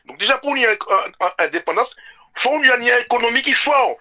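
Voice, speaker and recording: A man's voice: very high-pitched, animated, fairly quick, pushed and bright, dull audio. A man with a French Caribbean accent in an impassioned, informal political discussion, repeating words emphatically. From French Antilles. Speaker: radio show speaker.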